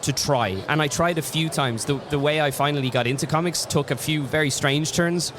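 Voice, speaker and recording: A man's voice: on the high side, brisk, background noise. A man, a comic artist, chatting as an interview guest and telling reflective stories with frequent restarts. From Ireland. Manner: reflective storyteller, many restarts. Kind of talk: casual interview chat.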